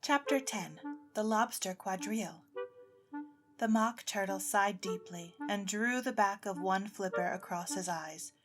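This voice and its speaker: A woman's voice: medium pitch, measured pace, music in the background. A woman giving scripted storybook narration as the narrator of an audio drama.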